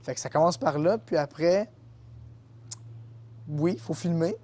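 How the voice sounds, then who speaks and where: A man's voice: on the high side, measured pace, mellow. A young man with a Québécois French accent answering interview questions in an easygoing, hesitant way with many fillers. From Quebec. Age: young adult. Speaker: guest, college tennis player.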